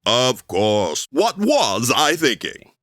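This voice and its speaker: A man's voice: medium pitch, wildly swooping pitch, slow pace, fairly bright tone, no echo, studio quality, heavily acted. An actor playing a playfully macabre host of an old-time radio horror show, theatrical and teasing as he relishes the gruesome. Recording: music under the voice.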